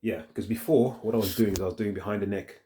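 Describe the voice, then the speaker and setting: A man with a deep voice talks casually to his phone in a rambling, halting vlog, thinking aloud as he goes. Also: warm tone, a little hiss or hum. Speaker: fitness vlogger. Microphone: handheld phone.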